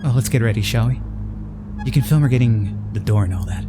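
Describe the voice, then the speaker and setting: Man voicing ambitious female reporter, brisk, matter-of-fact, opportunistic, game dialogue, music behind. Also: fairly low pitch, pitched above the performer's own voice, close to an everyday voice. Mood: confident. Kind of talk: reading game dialogue in character.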